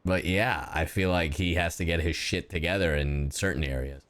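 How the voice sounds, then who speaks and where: A man's voice: low voice, moderate pace, a bit bright. A man giving blunt, sweary, casual reactions as a streamer playing a story game. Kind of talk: casual live reaction commentary. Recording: game music behind the voice.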